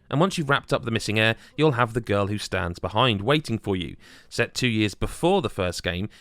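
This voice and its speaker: A man narrates a scripted game review. His voice sits at an average male pitch. The recording is dry, with very little room sound. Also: a bit bright, dry recording.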